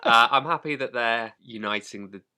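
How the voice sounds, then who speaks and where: A man's voice: mid-range voice, normal speed, sharp tone, clean tone. A man co-hosting a podcast in casual comic banter, with a dry, joking way of talking. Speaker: podcast co-host.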